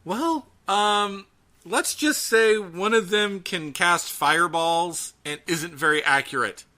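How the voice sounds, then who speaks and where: A man co-hosting a casual podcast, talking in a chatty, halting way. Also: high-pitched, bright, forceful tone. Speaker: podcast co-host.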